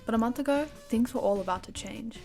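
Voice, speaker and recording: A woman's voice: on the high side, fast, dark tone, faint music behind. A young woman narrating her own art project in a casual, self-deprecating voice-over, often playing up the drama. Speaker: animatic creator.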